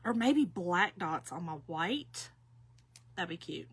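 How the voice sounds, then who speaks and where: Chatty fifties woman, bright voice. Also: lowish voice, animated, slow, breathy edge. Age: 50s. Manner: chatty, folksy, addresses viewers as y'all. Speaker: craft demonstrator. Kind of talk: casual craft tutorial.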